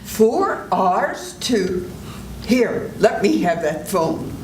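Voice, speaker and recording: An older woman reading the part of a calm, commanding doctor in a live old-time radio play. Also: medium pitch, extremely slow, a little hiss or hum. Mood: steady. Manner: firm, reassuring, commanding. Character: calm, take-charge country doctor. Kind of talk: 1940s radio drama reading. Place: senior center event room. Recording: echoey room with loud music.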